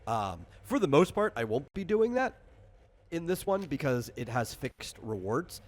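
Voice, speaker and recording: A man's voice: medium pitch, big pitch swings, measured pace. Rambling man explaining a tabletop game. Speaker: game designer and game master. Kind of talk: casual tabletop game walkthrough.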